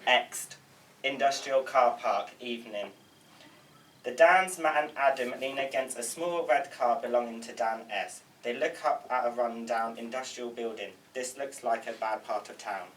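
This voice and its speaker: A man's voice: medium pitch, moderate pace, fairly bright tone. A man reading screenplay stage directions aloud at a table read. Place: indoor room, group table read. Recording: slightly noisy room, distant mic.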